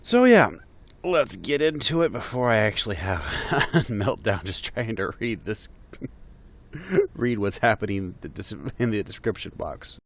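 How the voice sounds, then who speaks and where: A man's voice: lowish voice, very animated, a little slow. A man giving exasperated, mocking reaction commentary on a video, laughing through his remarks.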